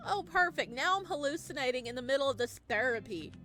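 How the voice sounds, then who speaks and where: A woman's voice: high voice, animated, quick pace, sharp tone, voice pushed up. A woman voicing an uneasy young male insomniac in a visual novel, hesitant, guarded and wry. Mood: wry, unsettled. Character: uneasy, sleepless young man, guarded therapy patient. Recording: music playing behind the voice.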